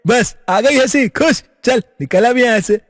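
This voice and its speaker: A woman's voice: fairly high pitch, measured pace, in a large hall, voice pushed down. A woman voicing a rude, dismissive young brother in a cartoon story. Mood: annoyed.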